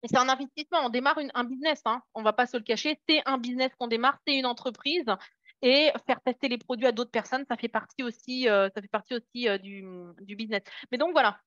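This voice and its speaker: French woman coaching her sales team, kinda high voice, lots of euhs, dry muffled sound. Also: fast talker, a bit bright, no echo. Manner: hesitant, many fillers and restarts, encouraging. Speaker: network marketing team trainer. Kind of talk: casual motivational training talk.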